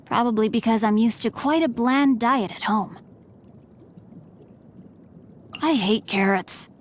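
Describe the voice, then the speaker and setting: A woman's voice: on the high side, normal speed, slight background noise, natural voice. A woman voicing a courteous, bookish teenage boy martial artist in a video game, with refined, eloquent and formal diction. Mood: candid, uneasy. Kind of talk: video game character voice lines. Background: music.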